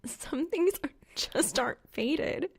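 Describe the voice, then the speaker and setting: A woman's voice: sits high, animated, takes it slowly, vocal fry, in a whisper, voice pushed up, exaggerated voice. A woman voicing a playful, sleepy young female gamer with a light, casual lilt in an audiobook. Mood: amused, sage.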